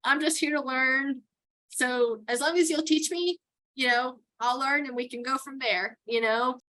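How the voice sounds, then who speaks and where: Middle-aged lady from new york, talks with a texan drawl now, bright voice, laughs a lot. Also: high-pitched, fairly even intonation, measured pace, rough recording. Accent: Texan with some New York. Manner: chatty, many fillers, laughs often. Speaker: guest, towboat port captain. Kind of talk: casual interview conversation.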